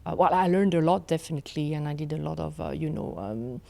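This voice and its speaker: French woman with a gravelly low voice. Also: warm tone. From France. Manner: measured, with many fillers and restarts. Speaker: law professor, guest. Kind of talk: interview. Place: studio set with armchairs. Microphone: desk mic on boom arm.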